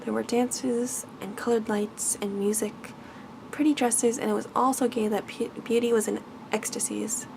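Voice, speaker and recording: A woman's voice: a little high, steady tone, moderate pace, creaky, background noise. A woman reading a bedtime fairy tale aloud as ASMR narration. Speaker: ASMR storyteller.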